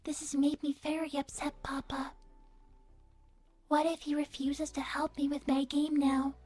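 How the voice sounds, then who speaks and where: A woman's voice: high-pitched, flat delivery, a little slow, darkish tone. A woman voicing a polite, childlike AI hologram daughter, earnest and pleading, with stilted, careful delivery in a livestream role-play. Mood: upset, reproachful. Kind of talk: in-character livestream chat.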